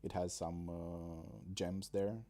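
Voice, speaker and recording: A man from Romania, streaming a mobile game in casual, halting commentary full of pauses and fillers, on a desk microphone. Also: low-pitched, little pitch movement, dark tone.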